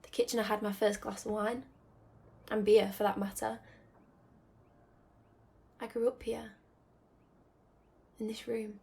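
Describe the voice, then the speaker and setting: A woman acting a wistful figure remembering the family home, in a reflective radio drama monologue full of pauses. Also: medium pitch, flat delivery, vocal fry, a little mellow. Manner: slow, reflective, pausing. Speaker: actor in a student radio drama.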